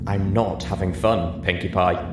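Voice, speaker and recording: A man voicing a stern, fed-up female unicorn in a story reading, scolding and deliberate. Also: low-pitched, steady tone, roomy sound, over loud music. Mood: dangerous anger.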